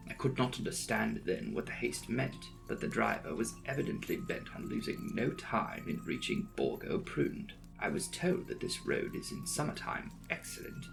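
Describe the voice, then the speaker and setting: Expressive man narrating with a creaky edge. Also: medium pitch, poor audio. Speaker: audiobook narrator. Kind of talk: dramatic reading of a classic gothic novel. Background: background music.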